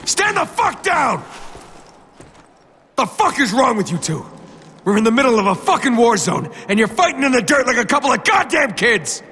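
A man's voice: very high voice, very animated, normal speed, sharp tone, breathy edge, raised voice, heavily acted. A man playing a stern, hot-tempered special forces squad commander in a video game, swearing and giving orders. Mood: furious. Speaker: squad leader. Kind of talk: dramatic video game acting.

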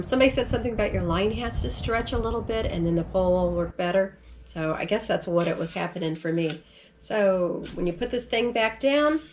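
A middle-aged American woman talks to the camera in a chatty, down-home way. Her voice sits at an ordinary female pitch. She explains things informally, like a vlogger showing gear at her own table. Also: a little hiss or hum. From United States. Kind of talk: casual product demonstration. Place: large furnished room at home, indoors.

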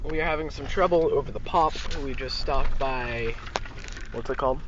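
A man's voice: mid-range voice, moderate pace. Teenage guy on muffled, noisy phone audio. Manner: rambling, slangy. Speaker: amateur vlogger. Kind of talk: casual handheld vlog chat. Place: outdoors among rocks, later inside a car. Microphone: handheld phone at arm's length. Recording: dull phone audio with loud outdoor and car noise.

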